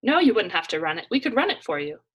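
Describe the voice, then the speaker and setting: A woman voicing an anxious, proud immigrant mother in a children's storybook, expressive and insistent as she keeps up a brave front. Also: mid-range voice, quick pace, breathy edge. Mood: eager, persuading.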